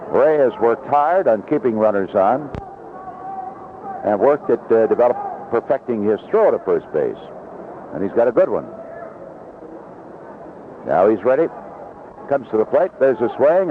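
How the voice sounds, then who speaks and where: An American man calling baseball play-by-play on the radio in a folksy, descriptive manner. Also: mid-range voice. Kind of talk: live radio sports commentary. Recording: dull, noisy vintage radio broadcast.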